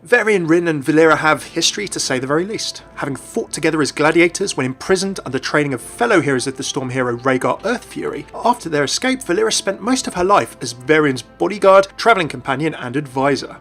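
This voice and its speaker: Male gaming-video narrator, rapid and chatty, wry asides, light music bed. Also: on the high side, expressive, fairly bright tone. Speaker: video narrator and game commentator. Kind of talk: scripted explainer narration. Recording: voice-over with light background music. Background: background music.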